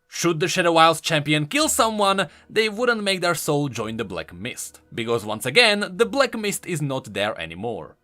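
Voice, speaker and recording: Animated, bright-voiced man commenting on game lore. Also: fairly high pitch. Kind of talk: scripted commentary. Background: background music.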